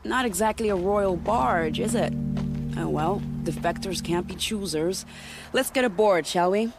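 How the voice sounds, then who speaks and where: An actor playing a witty, self-important aristocratic spy in a video game, with an arch, drily sardonic manner. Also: male voice, high-pitched, unhurried, vocal fry, music in the background. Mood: wry. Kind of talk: dramatic video game acting.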